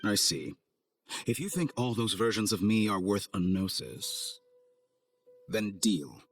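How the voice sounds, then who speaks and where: An arrogant, scheming game villain. His voice sits fairly low and moves with measured, condescending formality, savouring his own cleverness with cold amusement. Music plays behind him. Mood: smug, composed. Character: suave, arrogant male villain scientist, coldly amused schemer. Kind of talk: video game cutscene acting. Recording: studio voice over game music.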